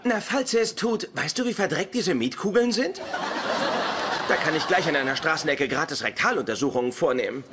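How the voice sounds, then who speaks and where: A man's voice: on the high side, lively intonation, brisk, natural voice. An actor playing a fussy, pedantic, literal-minded male scientist in a German sitcom dub, speaking precisely. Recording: TV sitcom audio, loud background noise.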